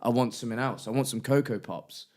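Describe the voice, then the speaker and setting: A man's voice: medium pitch, quick pace, darkish tone. A young man co-hosting a podcast, chatting in a rambling, laughing way. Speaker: podcast co-host. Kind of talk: casual chat.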